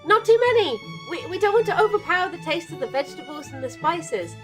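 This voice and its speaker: A woman's voice: very high-pitched, expressive, fast talker. A woman playing a cheerful, chatty young witch in an audio drama, rambling a little hesitantly and eager to please. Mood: friendly, hesitant. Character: cheerful, chatty young witch, tall rabbit-eared druid companion. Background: music.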